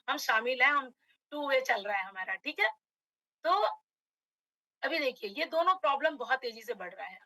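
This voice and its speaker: A middle-aged woman from Uttar Pradesh with a slightly hoarse throat, giving a motivational online webinar on direct selling and addressing her audience as friends. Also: sits high, bright, forceful tone, dry recording, poor audio. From Uttar Pradesh, India.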